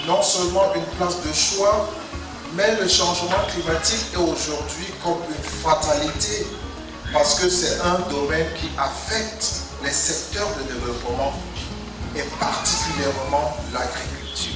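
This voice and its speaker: A man from North Cameroon gives an earnest formal speech as a government representative, often repeating phrases and turning to address the mayor. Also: a little high. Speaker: government representative at a launch ceremony. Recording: echoey hall, distant mic, music behind.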